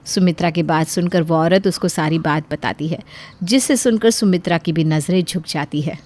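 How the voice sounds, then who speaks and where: A woman's voice: fairly low pitch, normal speed, darkish tone. A woman narrator reading a scripted story.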